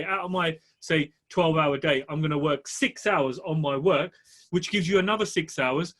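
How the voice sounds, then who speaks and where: A man's voice: fairly high pitch, fairly bright tone. Blunt, motivational man. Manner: motivational, blunt advice with mild swearing. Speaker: podcast co-host. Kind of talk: casual podcast chat. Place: studio room with a notepad.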